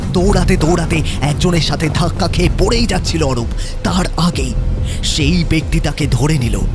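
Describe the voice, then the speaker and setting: ভৌতিক গল্পের পুরুষ কথক. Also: male voice, a little high. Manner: suspenseful, measured. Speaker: narrator. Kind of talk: dramatic horror storytelling. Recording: music behind voice.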